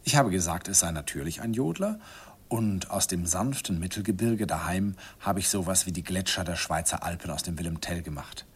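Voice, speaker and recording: An actor playing a nostalgic older man who recalls his shy first love in an unhurried, wistful radio play narration. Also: low voice, animated, slightly rough, full character voice. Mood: wistful, reminiscing. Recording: vintage radio recording with music behind.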